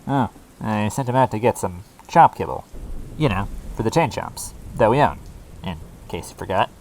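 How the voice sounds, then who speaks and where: A man's voice: fairly low pitch, animated, moderate pace, a little mellow, slight background noise, close to an everyday voice, low-quality recording. A man voicing a laid-back, cheeky minion creature in a story, talking in a nonchalant, dude-like way. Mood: cheeky, breezy.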